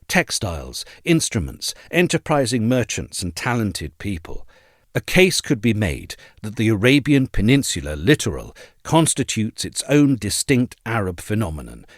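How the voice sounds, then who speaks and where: A man reading a scripted essay as narrator, in a measured, articulate, formal manner. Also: lowish voice, no echo, studio quality.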